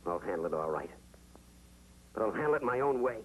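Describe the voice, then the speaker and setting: Deep-voiced, sullen ex-con. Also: male voice, sing-song, a little fast. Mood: defiant. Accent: American. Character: stubborn, sullen male ex-con cab driver. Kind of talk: 1950s television crime drama acting. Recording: noisy vintage 1950s TV soundtrack.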